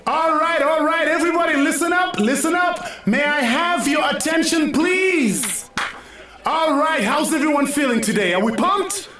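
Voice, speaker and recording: An excitable young man calls out in a very high, lively voice, hyping up a gathering like an emcee. He rings through a heavily echoing hall with a crowd. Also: moderate pace, far from the mic, exaggerated voice. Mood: excited, rousing. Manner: loud, hyped, crowd-rousing. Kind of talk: shouted stage announcement in a motion comic. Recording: very echoey hall, crowd, off mic.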